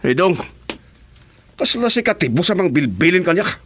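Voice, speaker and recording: A man's voice: sits high, sing-song, normal speed, a bit bright, slight background noise. An actor playing a jumpy, eager henchman who defers to his boss in a radio serial drama. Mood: uneasy, eager.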